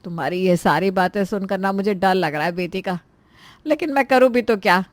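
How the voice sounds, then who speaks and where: A woman's voice: mid-range voice, brisk, dry recording, close to an everyday voice. A woman playing a worried, resigned mother of grown children in a cartoon moral story, fretful and conciliatory.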